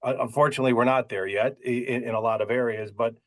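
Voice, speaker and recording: A man's voice: mid-range voice, fairly even intonation, fast, a bit bright. A man hosting a podcast, asking long rambling questions with stammers and light jokes. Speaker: podcast host. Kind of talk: casual interview questions.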